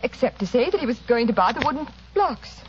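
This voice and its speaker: An actress playing an anxious but brave wife, speaking formally and earnestly in an old-time radio drama. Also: female voice, a little high, very animated, fairly quick. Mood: subdued, earnest. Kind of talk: 1940s radio drama acting. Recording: muffled old radio with faint noise.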